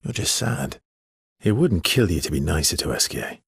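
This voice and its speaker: A man voicing a wry, guarded adventurer with a soft heart in a video game, gravelly and halting, with a teasing way of talking. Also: low voice, very animated, no echo, studio quality, heavily acted. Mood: earnest. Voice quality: husky, gravelly. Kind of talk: naturalistic game dialogue acting.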